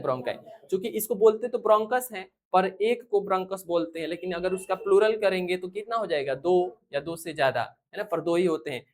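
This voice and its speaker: A science teacher with an Indian accent lecturing school students, often asking a question, repeating it and answering it, with frequent check-in tags. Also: mid-range voice.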